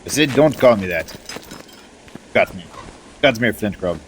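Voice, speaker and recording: A man playing a gruff, battle-worn dwarf commander who banters folksily in a fantasy audio drama. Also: on the low side, expressive, unhurried, slight background noise. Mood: bantering. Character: gruff battle-scarred dwarf commander, shaggy and friendly to recruits.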